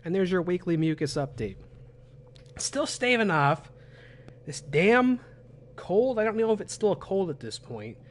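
A young man with a cold, his voice raspy and phlegmy, chatting casually as a gaming streamer from a small room at home. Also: high-pitched, big pitch swings, measured pace, long pauses. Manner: chatty, self-deprecating. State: hoarse from a cold.

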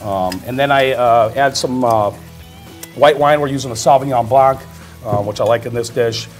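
A man's voice: mid-range voice, moderate pace, faint music behind. A middle-aged man with an Upper Midwest American accent, a head chef explaining a dish on a studio cooking set, talking plainly with many ums and uhs. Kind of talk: cooking demonstration. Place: indoor studio kitchen set.

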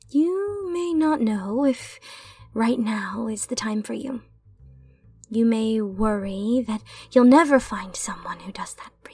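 Woman playing a breathy, slow-spoken mysterious bartender. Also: a little high, a little mellow, no room sound, recorded from a distance, full character voice. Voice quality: breathy, whispery. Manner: slow, soothing, reassuring delivery. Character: mysterious, gentle, comforting woman bartender in magical bar. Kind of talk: soft-spoken ASMR roleplay.

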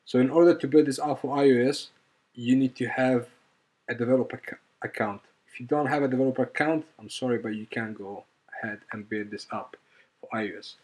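A man with a medium-pitched voice presents an informal coding walkthrough. His delivery is hesitant and halting, as he explains steps while working through them. He often repeats a phrase before finishing it. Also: darkish tone, breathy edge. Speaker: software tutorial presenter. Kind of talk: informal screen-recorded coding tutorial.

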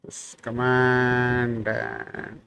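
A man's voice: mid-range voice, monotone, drawn-out words, noisy background. A man in his thirties whose first language is Tamil, giving a casual screen-share coding tutorial from a small room and thinking aloud with many fillers. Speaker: programming tutor.

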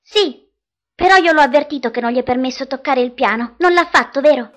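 A woman's voice: sits high, a little fast, noisy background, lightly acted. A woman voicing a stubborn, teasing young girl in a dubbed film drama, insistent and matter-of-fact. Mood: defiant. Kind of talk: dubbed film acting in Italian fandub.

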